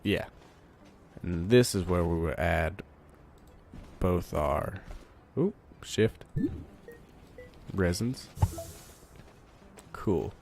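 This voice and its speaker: A man with a congested voice from a cold, giving relaxed let's play commentary, thinking aloud while he plays. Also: sits low, extremely slow, creaky, long pauses, a little hiss or hum. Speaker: gamer.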